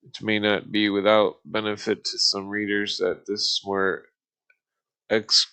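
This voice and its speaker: A man reading aloud casually on a live stream, halting and stumbling over formal text, with muttered asides. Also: lowish voice, level tone, pushed and bright, clear, resonant tone.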